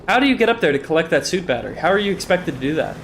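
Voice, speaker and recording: A man's voice: a little high, big pitch swings, racing, creaky, fairly bright tone, slight background noise. A young man with a stuffy nose, tired and self-deprecating, rambling through casual commentary on a live game stream.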